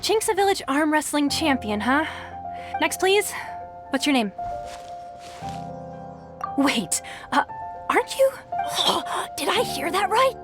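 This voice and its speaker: A woman's voice: sits high, big pitch swings, slow pace, long pauses, heavily acted. A woman playing a polite young tournament registrar in a video game, formal but easily flustered and awed. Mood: surprised. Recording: game music underneath.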